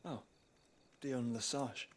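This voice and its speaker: An actor with a British accent playing a grave, weary young nobleman in a video game, speaking in a measured, formal, contemplative way. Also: medium pitch, fairly even intonation, slight background noise, close to an everyday voice. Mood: reflective. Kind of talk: solemn video game cutscene acting.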